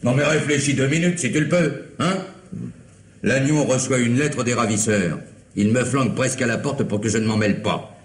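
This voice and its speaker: Actor as an irascible police chief, roomy old recording. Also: male voice, medium pitch, moderate pace, lightly acted. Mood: exasperated. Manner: scolding, sarcastic, exclamatory. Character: irascible, sarcastic French police commissioner berating his men. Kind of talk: old French radio mystery drama acting.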